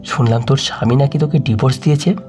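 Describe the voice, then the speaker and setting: A man's voice: medium pitch, fast talker, faint music behind, close to an everyday voice. A man voicing a kind, motherly middle-aged aunt who speaks in a tender, coaxing way in an audio story.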